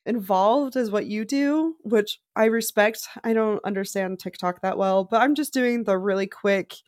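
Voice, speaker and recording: A woman talking as a guest in a casual podcast chat between friends, effusive, slangy and quick to swear. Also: medium pitch, a little mellow, dry recording, clean studio sound.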